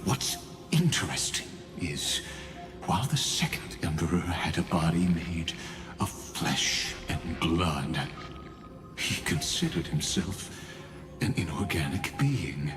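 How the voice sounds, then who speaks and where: An extremely low voice, an ancient, calculating dead genius in a video game. He speaks very slowly and gravely, with endless patience. Music plays clearly behind him. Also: full character voice. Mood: brooding, patient. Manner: slow, measured, grave.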